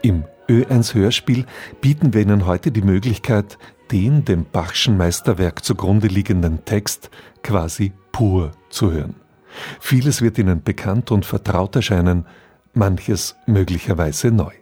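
Low, husky man presenting on radio. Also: warm tone, soft background music. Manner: measured, formal, explanatory. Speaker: radio presenter introducing a radio drama. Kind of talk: scripted radio introduction.

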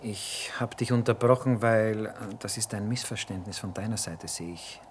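Actor as blunt, callous army cook. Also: male voice, fairly low pitch, normal speed, a little hiss or hum, close to an everyday voice. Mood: hesitant, earnest. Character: hard-headed, callous army cook courting a trader woman. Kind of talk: radio drama acting.

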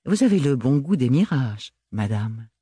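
A man voicing a pompous baron with a smooth, courtly manner. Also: mid-range voice, sing-song, unhurried, dark tone, no echo, clean studio sound. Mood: flattering. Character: pompous mustached baron, flattering.

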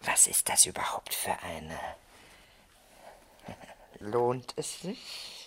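An actress in a radio drama playing an imperious, worldly older general's wife, blunt and lusty, with a husky, breathy, theatrical drawl. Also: female voice, a bass voice, very animated, very slow, fairly bright tone, in a whisper, full character voice. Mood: sly, appraising. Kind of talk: German radio drama acting.

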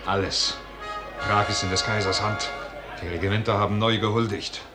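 An actor playing a grim, distrustful officer, grave and emphatic, in a classical verse radio drama. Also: male voice, lowish voice, fairly even intonation, normal speed, lightly acted. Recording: loud music and crowd noise behind.

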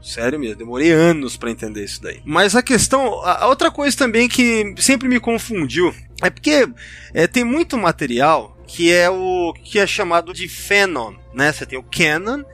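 A Brazilian man co-hosting a podcast in an informal, animated, casual chat. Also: sits high, moderate pace, faint music behind. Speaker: podcast co-host.

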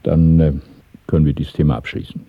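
A grave underground recruiter in a radio play. His voice is very low and husky, with a formal, deliberate, interrogating manner. The recording is thin and narrow, with a very dry sound. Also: flat delivery, dry recording. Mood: commanding. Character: grave, authoritative male conspirator recruiting for a secret organisation. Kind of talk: 1970s German radio drama acting.

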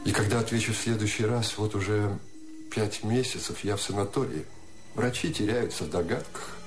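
An actor playing an enraptured, melancholy poet in a radio play, declaiming love letters solemnly and tenderly. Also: male voice, lowish voice, normal speed, faint music behind, natural voice. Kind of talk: poetic radio play monologue.

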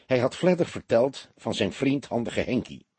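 Very husky male storyteller, expressive. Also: mid-range voice, normal speed, no echo. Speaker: audiobook narrator.

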